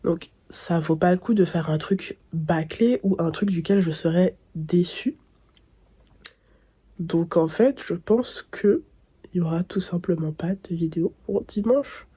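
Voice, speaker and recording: A woman's voice: on the low side, measured pace, mellow, slightly rough. A young woman vlogging casually with a blocked nose, sounding nasal and congested, rambling and thinking aloud. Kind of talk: casual vlog talk to camera.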